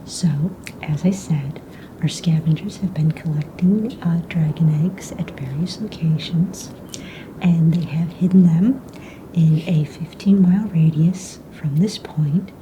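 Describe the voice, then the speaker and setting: A woman playing a calm, businesslike young fantasy egg hunt official in a breathy, soft-spoken ASMR roleplay, casual and matter-of-fact. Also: low-pitched, unhurried, slight background noise, low-quality recording. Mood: calm, polite. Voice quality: breathy, whispery.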